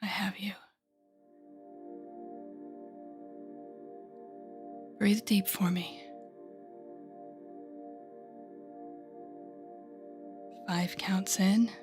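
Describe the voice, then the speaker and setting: A woman performs a telepathic supervillain from an audio roleplay, unhurried and deliberate over a soft music bed. She is a sardonic, bitter mentor with a fiercely protective streak. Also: medium pitch, little pitch movement, natural voice. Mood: tender, hushed. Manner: sardonic, deliberate, shifting to soothing whispers. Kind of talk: dramatic audio roleplay acting.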